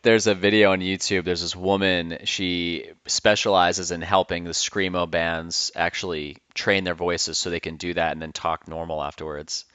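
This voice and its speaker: Low-voiced dude hosting a podcast, eager and casual, kinda muffled dry audio. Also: male voice, little pitch movement, normal speed, hardly any pauses, fairly bright tone, clear, resonant tone, no echo. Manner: enthusiastic, casual, swears freely. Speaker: podcast host. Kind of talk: casual interview chat. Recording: dull dry lo-fi sound.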